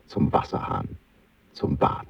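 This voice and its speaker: An actor playing a weary, idealistic artist speaking his introspective inner diary in a literary radio play, in measured poetic phrases. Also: recorded from a distance, muffled recording, natural voice. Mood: wistful, reflective.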